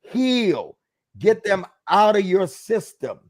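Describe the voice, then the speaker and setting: A man's voice: sits high, sing-song, slow pace. A man as a guest author giving emphatic, sermon-like advice, calling out to the audience with rhetorical questions.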